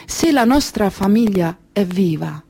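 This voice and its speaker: An actress plays a Kurdish refugee woman telling her story on stage, grave and wistful. She speaks slowly, in halting phrases full of pauses, with a mid-pitched voice. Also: animated, vocal fry, warm tone, husky, heavily acted. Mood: tender, proud. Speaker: stage performer of a monologue. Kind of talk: slow theatrical monologue storytelling.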